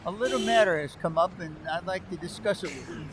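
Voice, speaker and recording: A man's voice: sits high, fast talker, noisy background, close to an everyday voice. A man playing a genial, patronizing older businessman in a vintage-style radio comedy, stammering and hesitant in an avuncular way. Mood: casual, tentative.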